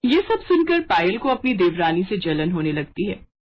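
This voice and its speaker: Lively woman storyteller, slightly muffled. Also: mid-range voice, moderate pace. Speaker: story narrator. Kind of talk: scripted story narration. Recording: dull lo-fi audio.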